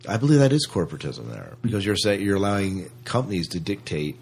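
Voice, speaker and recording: Deep, husky-voiced man chatting. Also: sing-song, fast talker. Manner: questioning, thinks aloud. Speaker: podcast co-host. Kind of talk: casual chat discussion.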